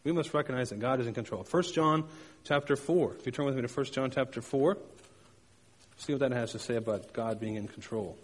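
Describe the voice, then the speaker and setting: A man's voice: mid-range voice, fairly quick, in a large hall. A man delivering a sermon, telling personal stories with emphatic repetition and playful sound effects. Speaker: pastor.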